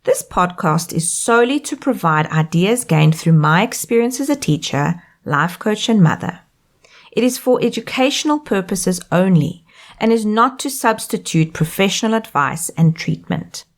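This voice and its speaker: A South African woman in her thirties presents a scripted solo podcast as its host and life coach. Her delivery is upbeat, and her pitch rises and falls a lot at a middling level. She reads from a script with plenty of expression. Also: expressive, normal speed.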